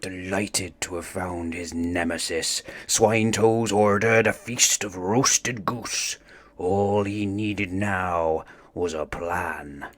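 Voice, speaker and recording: A man reads a children's storybook aloud with dramatic expression. His voice sits fairly low, and he takes his time, pacing each phrase very slowly. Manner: theatrical, expressive. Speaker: storybook narrator.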